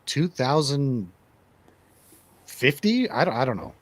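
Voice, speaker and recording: A man's voice: medium pitch, big pitch swings, takes it slowly, no room sound. A man hosting a casual movie review chat, speaking in a conversational, opinionated way. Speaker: radio show host.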